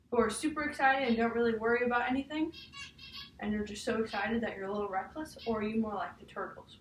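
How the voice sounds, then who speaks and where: A young woman reading a picture book aloud to a child, in an encouraging way with questions to check in. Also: on the high side. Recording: echoey room, distant mic, faint noise.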